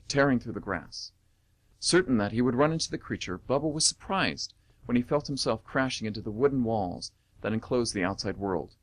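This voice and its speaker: A man reading dramatic story narration as the narrator of an amateur radio play. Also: mid-range voice.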